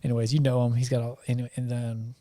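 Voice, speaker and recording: A man's voice: medium pitch, little pitch movement, quick pace, dark tone. A young man from Georgia with a Southern accent, chatting loosely as a podcast host and often restarting his sentences. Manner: rambling, restarts sentences, laid-back. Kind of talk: casual chat.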